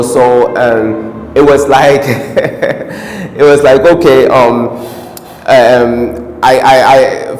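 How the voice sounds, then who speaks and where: An American-accented man talks through his acting work in a loose, rambling way, full of fillers and asides. His voice sits in a mid pitch. The room is echoey with a long reverb tail and a faint hiss behind it. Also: darkish tone. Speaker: film actor. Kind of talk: casual interview answers.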